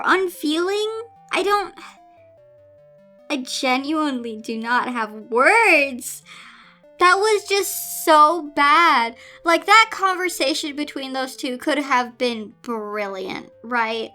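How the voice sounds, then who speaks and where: Woman, bright forceful voice, casual reviewer, informal asides, exasperated, soft music behind. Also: high voice. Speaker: host reading and reviewing fan fiction. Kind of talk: reading a story aloud with casual commentary.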